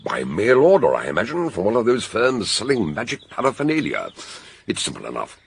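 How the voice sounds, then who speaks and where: An actor playing a jovial, shrewd older British doctor-detective in a radio drama, with theatrical, courteous and deliberate delivery. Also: male voice, lowish voice, wildly swooping pitch, vocal fry, breathy edge, exaggerated voice. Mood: musing.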